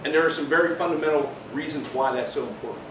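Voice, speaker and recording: A man's voice: a little high, fast talker. A man giving a seminar as a business trainer, explaining emphatically and posing rhetorical questions. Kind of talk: live seminar presentation. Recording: roomy, distant mic, clearly noisy.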